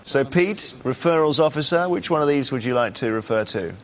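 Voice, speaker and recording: A man's voice: medium pitch, animated, a little fast, slight background noise. Dry-witted male quiz show host. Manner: dry, teasing banter.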